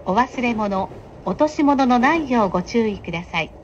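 Formal female train announcer, dull noisy sound. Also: animated. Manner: polite, formal, measured enunciation. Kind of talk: scripted in-car station announcement. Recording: dull train PA with clear rumble. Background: train running noise.

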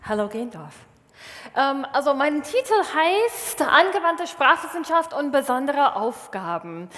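A woman in her thirties from Wisconsin, a native English speaker with an American accent, giving a lively, self-mocking stage talk in a large hall. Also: high-pitched, a little slow. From Wisconsin, USA. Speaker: science slam speaker. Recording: reverberant hall.

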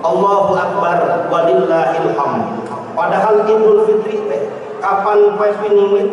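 A middle-aged man with a Sundanese accent, delivering an Eid sermon in measured, rhythmic oratory with Arabic phrases. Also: high-pitched. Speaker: preacher. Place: large mosque hall full of worshippers. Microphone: stand mic at a lectern, filmed from far. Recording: echoey mosque hall from far off. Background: crowd of worshippers.

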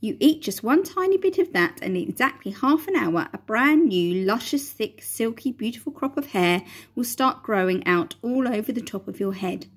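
An eccentric male chocolate maker, bursting with glee and barking orders in a bright, bossy voice, as a woman reading a storybook puts him on. He sounds proud and excitable, and the sound comes from a phone held close. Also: on the high side, moderate pace, husky. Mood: excited. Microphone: phone camera at close range.

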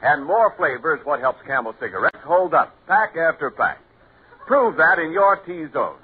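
American male 1940s radio pitchman, lively swooping delivery, slightly husky, tinny vintage sound. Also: moderate pace. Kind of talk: 1940s radio advertising read.

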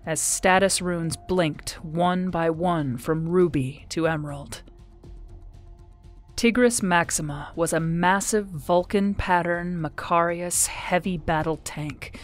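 A woman narrates dramatic fiction in a low voice. She takes her time with the scripted prose, reading as an audiobook performer over faint music. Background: music behind the voice.

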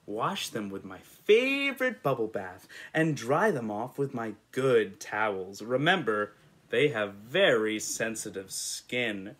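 Young American male storyteller for preschoolers, unhurried and playful, very lively intonation. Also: medium pitch. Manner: playful, expressive, asking the listener questions. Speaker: children's story reader. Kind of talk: animated picture-book read-aloud for young children. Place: indoors, small room in front of a patterned cloth.

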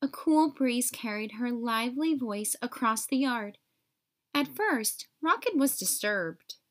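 A woman reading a children's story aloud in an expressive, carefully phrased way for young listeners. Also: a little high, slow.

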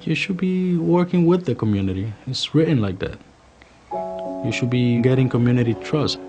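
Man, 30s, from the Dominican Republic, police officer, documentary testimony, earnest and measured, mid-pitched voice, guitar music underneath. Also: expressive, mellow, low-quality recording. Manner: earnest, measured, repeats phrases for emphasis. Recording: music bed playing behind voice.

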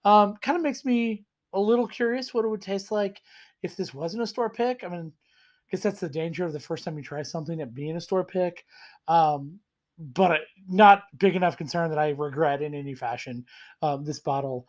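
A man in his thirties with a cold, hoarse and rambling as he tastes and reviews whiskey in a casual home vlog. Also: a little high, moderate pace. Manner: rambling, self-deprecating, enthusiastic. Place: small room indoors at home.

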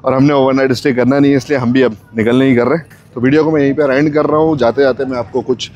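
A man's voice: mid-range voice, fairly even intonation, quick pace, a little mellow, muffled recording. A man, chatting casually to viewers as a travel vlogger on a mountain picnic spot, pointing things out as he goes. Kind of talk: casual vlog narration. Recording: faint outdoor noise. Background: wind and passing vehicles.

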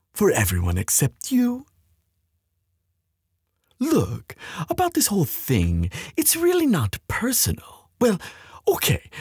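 A smug, mocking kidnapper acted by a woman in an audio roleplay. The voice is very husky and breathy, takes its time, and swings widely in pitch as it taunts, recorded close and dry. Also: a little mellow, no echo, heavily acted. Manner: mocking, drawling.

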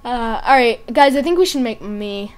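A woman with a high voice giving casual, chatty commentary as a gaming video host, thinking aloud in short offhand remarks. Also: expressive, normal speed, clean tone.

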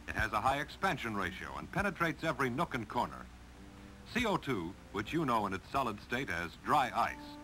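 An American man reading scripted 1950s instructional film narration in a clear, measured delivery. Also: medium pitch, close to an everyday voice, low-quality recording. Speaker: narrator of a railroad training film. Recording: 1950s film soundtrack with music behind.

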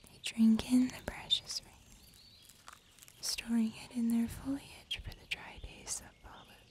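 A young woman playing a gentle mystical healer in an ASMR fantasy roleplay, whispering slowly, breathily and tenderly. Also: on the high side, level tone, close to an everyday voice. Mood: tender, comforting. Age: young adult. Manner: slow, soothing, tender. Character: gentle mystical female healer comforting a weary wanderer. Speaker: ASMR performer.

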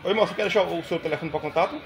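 Um homem nordestino brasileiro gravando um vlog casual numa feira de motos ao ar livre, falando com entusiasmo e repetindo os preços. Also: male voice, high voice, racing, crowd noise. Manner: chatty, enthusiastic, repeats prices back. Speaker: vlogger filming a motorcycle market. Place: outdoor motorcycle fair.

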